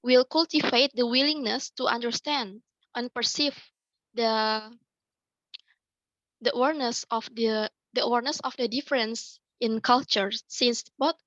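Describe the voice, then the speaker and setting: A woman's voice: fairly high pitch, fairly even intonation, unhurried, fairly bright tone, dry recording, lo-fi sound. Young woman presenting research. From Indonesia. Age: young adult. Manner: hesitant, repeats and restarts words. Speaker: student presenter. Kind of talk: academic presentation. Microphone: video call.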